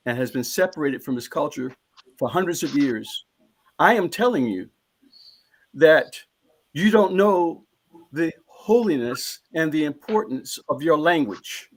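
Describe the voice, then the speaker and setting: A man's voice: fairly high pitch, lively intonation, measured pace, low-quality recording. A man speaking as a panelist in a live discussion, earnest and emphatic, often repeating a phrase as he builds toward his point.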